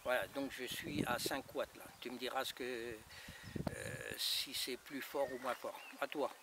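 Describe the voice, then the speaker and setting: A man in his fifties chatting casually in a radio check, halting and repetitive, handing the turn back. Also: mid-range voice, flat delivery. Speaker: amateur radio operator. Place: outdoors in a gravel yard by a house. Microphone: camera a few metres away. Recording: distant camera mic, noisy open air.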